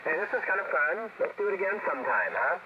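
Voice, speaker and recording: A man's voice: high voice, sing-song, quick pace. A man talking casually and lightly as an amateur radio operator over a shortwave link. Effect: shortwave ham radio transmission. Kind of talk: casual, jokey radio chat. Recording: distant, dull, very noisy radio signal.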